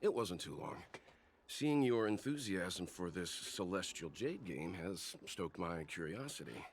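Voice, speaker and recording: A man voicing a composed, courteous, mature gentleman in a video game, measured and formal. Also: sits low, big pitch swings, background noise, full character voice. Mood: calm, courteous.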